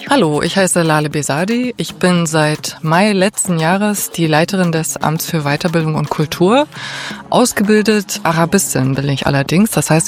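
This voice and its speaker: A middle-aged woman from Berlin giving articulate, explanatory interview answers in a formal way. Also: sits low. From Berlin, Germany. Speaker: interview guest, head of a municipal education and culture office.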